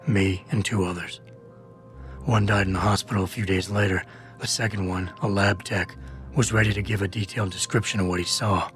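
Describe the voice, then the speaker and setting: Video game lead: augmented security man, brooding, low gravelly register, deadpan and terse. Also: a little fast, soft background music. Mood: grim, guarded. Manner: deadpan, clipped.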